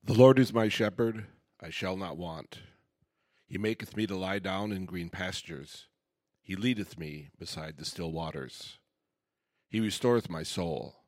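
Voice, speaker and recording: A man with a very deep voice reads scripture aloud in a slow, solemn way. His recitation is steady and reverent, and the sound is dry. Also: no room sound. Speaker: congregation member reading scripture. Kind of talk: scripture reading.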